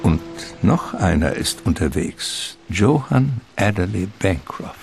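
An actor playing the ominous, dramatic narrator of a gothic radio drama. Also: male voice, sits low, big pitch swings, slow, vocal fry, a little mellow, exaggerated voice. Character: ominous male storyteller of a gothic vampire tale. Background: music.

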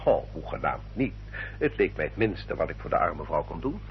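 An actor playing a courteous, self-justifying English baronet in a radio drama, with a formal, polished and elaborately polite manner. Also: male voice, extremely low pitch, sing-song, normal speed, exaggerated voice. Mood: smoothly self-justifying. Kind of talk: old radio drama acting. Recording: dull old radio recording, faint hum.

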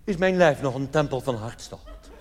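A man's voice: mid-range voice, lively intonation, moderate pace, a little mellow. A man from the Netherlands performing live stand-up cabaret, telling anecdotes in a theatrical, mock-solemn manner full of rhetorical questions. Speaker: cabaret comedian. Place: theatre stage in a large hall. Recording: reverberant hall live recording with noise.

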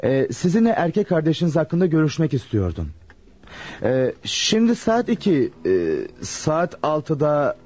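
A man's voice: a little high, sing-song, measured pace, darkish tone. A man acting a nervous, scheming insurance clerk in a radio drama, hesitant and muttering. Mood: nervous, polite. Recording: muffled, noisy radio drama recording.

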